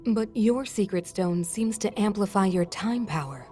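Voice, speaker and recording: A woman's voice: mid-range voice, expressive, normal speed, dark tone, natural voice. A woman voicing a composed, scholarly ancient sage and inventor in a video game, precise and explanatory. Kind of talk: video game cutscene voice acting. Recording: music behind the voice.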